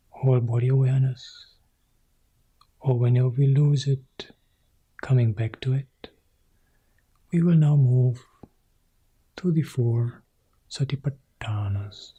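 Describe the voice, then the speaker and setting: A man leading a guided meditation as a teacher, speaking calmly in short, sparse phrases with long pauses, in a soft, husky, breathy voice. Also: mid-range voice. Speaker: meditation teacher guiding a practice.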